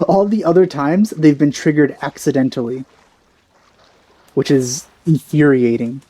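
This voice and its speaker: A man's voice: fairly high pitch, slow, long pauses, mellow, rough recording. A man giving casual commentary on a game he is playing, musing and thinking aloud. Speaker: let's play gamer. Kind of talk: casual blind playthrough commentary.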